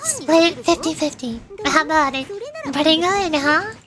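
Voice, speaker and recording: A woman's voice: high-pitched, normal speed, close to an everyday voice. A woman voicing a perky, scheming young cat girl mercenary, chatty and cajoling, reading game dialogue. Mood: persuasive, upbeat. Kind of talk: video game dialogue read aloud. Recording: loud music behind the voice. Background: game music.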